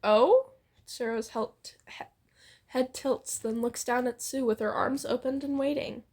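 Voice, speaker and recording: A woman's voice: sits high, fairly even intonation, measured pace, a little mellow. A young woman casually reading fan fiction aloud, playfully acting out the dialogue and bantering. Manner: playful, acts out dialogue with exclamations. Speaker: amateur fan fiction reader and co-host.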